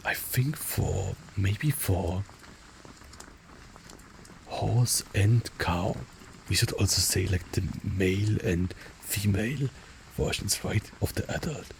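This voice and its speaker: A man teaching vocabulary in a relaxed lesson, often whispering and slowly repeating each word. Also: sits low, vocal fry, slight background noise, low-quality recording. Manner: soft-spoken, often whispers words, repeats them slowly. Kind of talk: relaxed spoken language lesson with whispered repetitions. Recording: faint rain in the background.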